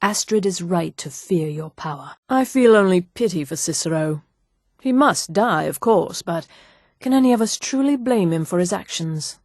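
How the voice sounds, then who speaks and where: A woman playing a poised, coolly menacing elf assassin in a fantasy video game, speaking with formal, measured, deliberate diction. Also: fairly low pitch, animated, darkish tone, no room sound, clean studio sound. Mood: cool pity.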